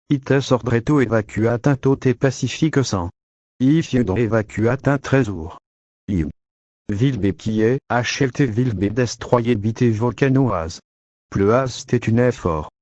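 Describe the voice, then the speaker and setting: A man's voice: mid-range voice, moderate pace, a little mellow, no echo. A synthetic-sounding man reading a scripted emergency alert with garbled pronunciation, in a lo-fi recording. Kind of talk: scripted emergency broadcast narration. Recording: dull lo-fi sound.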